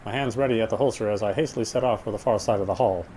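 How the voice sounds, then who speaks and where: A man's voice: lowish voice, rapid-fire, mellow, a little hiss or hum, low-quality recording. A man streaming a game and reading its narration aloud, now and then stumbling over words.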